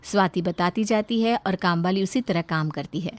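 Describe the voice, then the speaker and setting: A woman's voice: on the low side, moderate pace, no echo. A woman narrating a scripted story.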